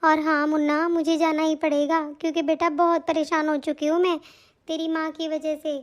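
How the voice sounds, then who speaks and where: A woman's voice: high voice, little pitch movement, normal speed, close to an everyday voice. A woman voicing a fretful, affectionate older mother-in-law in a family cartoon, with a plaintive, homely way of talking. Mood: resigned. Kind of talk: Hindi family cartoon story dialogue.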